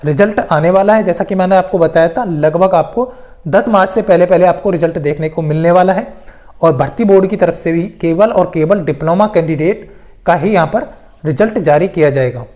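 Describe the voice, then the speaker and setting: A presenter speaks at a medium pitch in an informal explainer style. The delivery is emphatic, circling back over key points for exam candidates, with English terms mixed in throughout. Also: brisk, a little mellow. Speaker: presenter of exam news updates.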